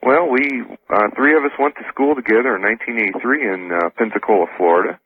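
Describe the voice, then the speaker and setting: An American man answering interview questions as a radio guest, husky, polite and matter-of-fact. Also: medium pitch, fast talker. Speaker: radio show guest, ex-soldier. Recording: tinny phone line on radio.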